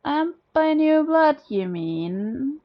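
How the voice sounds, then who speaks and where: A woman's voice: high-pitched, very animated, drawn-out words, lo-fi sound, voice pushed up, full character voice. A woman voicing a tired, sardonic young male captive in a story, with a dry, pointed delivery.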